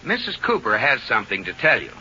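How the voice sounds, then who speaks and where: An actor playing an absent-minded, affable and mildly bossy American husband in 1940s radio comedy, exclamatory and sheepish, on an old recording. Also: male voice, medium pitch, sing-song, quick pace, bright, forceful tone, breathy edge, dull audio, heavily acted. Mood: matter-of-fact. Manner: exclamatory, sheepish, then firm.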